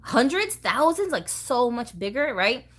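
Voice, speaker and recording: A middle-aged woman from the US East Coast hosting a casual vlog, talking to viewers in an expressive, slangy, chatty way. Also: on the high side, unhurried, slight background noise. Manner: animated, slangy, expressive, addresses viewers directly. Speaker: host of a doll collecting channel.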